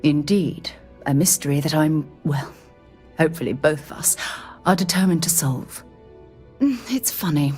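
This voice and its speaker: An actress playing an earnest, excitable space-explorer scientist in a video game, speaking in an articulate, formal, slightly flustered way. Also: female voice, fairly low pitch, natural voice. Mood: reflective.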